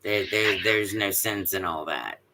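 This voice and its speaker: A woman with a deep voice chatting casually on a live stream, rambling with many fillers and restarts. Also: expressive, measured pace, very noisy. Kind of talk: casual chat.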